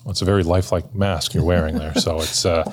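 Rambling, low-voiced male podcast host. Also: steady tone, fast, a little hiss or hum. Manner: friendly, rambling questions with many "uh" and "um". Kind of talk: casual interview hosting.

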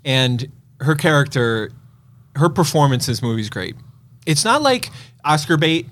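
Higher-voiced man chatting about movies. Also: big pitch swings, moderate pace, fairly bright tone. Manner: joking, playfully exaggerating. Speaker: podcast co-host; movie theater operator. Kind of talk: casual movie chat.

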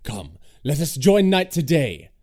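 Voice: medium pitch, sing-song, a little mellow. An actor playing a bawdy, wheedling young male porter in archaic audio drama style, with music behind. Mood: pleading, earnest. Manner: theatrical, archaic speech, drawn-out delivery.

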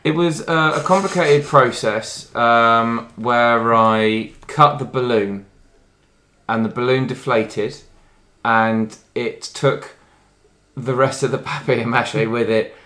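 A man's voice: medium pitch, fairly even intonation, slow pace, pushed and bright. A man chatting casually and putting himself down as he talks in a group. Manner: self-deprecating. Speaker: craft challenge contestant. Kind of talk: casual chat. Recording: roomy, echoey recording.

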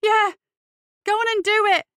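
A reckless little street urchin, played by a woman, high-pitched and loud, trembling with indignation. The boy is defiant and shrill, in a dry audiobook-style recording. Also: fairly even intonation, measured pace, no echo. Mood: reckless fury.

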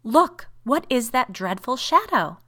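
A woman's voice: mid-range voice, brisk, sharp tone, close to an everyday voice. Gothic storybook role: woman reader as anxious, horror-struck married lady, exclamatory and faltering. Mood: horrified. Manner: stammering, exclamatory, frightened.

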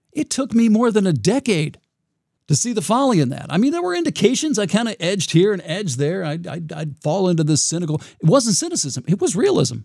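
A man with a raspy voice hosting his own podcast, talking in a reflective, rambling way with frequent restarts. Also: sits high, darkish tone, no echo, clean studio sound. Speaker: podcast host. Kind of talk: solo podcast monologue.

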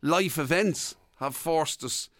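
A man's voice: fairly high pitch, slow pace, a bit bright, no room sound, clean studio sound. A man with an Irish accent co-hosting a radio show in casual, joking banter. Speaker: radio co-host. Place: radio studio. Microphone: close broadcast microphone.

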